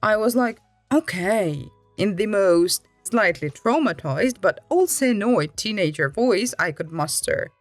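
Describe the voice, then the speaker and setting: Woman reading reddit posts, bouncy expressive voice, dry close sound. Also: medium pitch, unhurried, hardly any pauses, dry recording, faint music behind. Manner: lively, expressive reading with acted asides. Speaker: Reddit story reader. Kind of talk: reading Reddit stories aloud with reactions.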